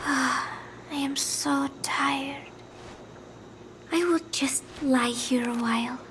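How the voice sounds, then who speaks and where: Frail, haunted young woman for game drama: high, gentle, breathy, confused, slow and hesitant delivery. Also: fairly even intonation, background noise. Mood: weary. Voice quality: breathy, often dropping to a whisper. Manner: halting, hesitant, fragmented phrases. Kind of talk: dramatic video game cutscene acting.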